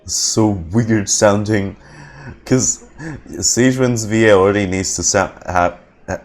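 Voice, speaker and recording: A man's voice: on the low side, steady tone, takes it slowly. A man streaming a video game, giving casual off-the-cuff reactions in short murmured interjections.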